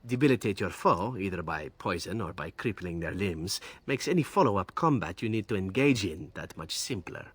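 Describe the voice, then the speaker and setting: A man's voice: low voice, animated, moderate pace, hardly any pauses, a bit bright, breathy edge, exaggerated voice. An actor voicing a sly, amused male elf assassin in a video game, wry and self-assured. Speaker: game companion.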